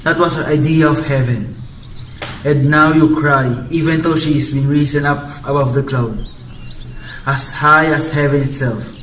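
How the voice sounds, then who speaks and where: A man's voice: fairly high pitch, fairly even intonation, a little slow, a bit bright, a little hiss or hum. A man playing a stern, consoling friar in an amateur student radio drama. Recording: echoey, distant mic, music behind.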